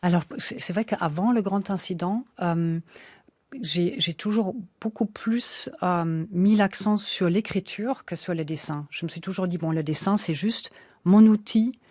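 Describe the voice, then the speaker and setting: Woman guest, non-native speaker, low voice, halting and thoughtful, dry studio sound. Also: darkish tone, dry recording. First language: not French. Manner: hesitant, many fillers and restarts. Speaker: author and illustrator guest. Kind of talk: radio interview, reflective.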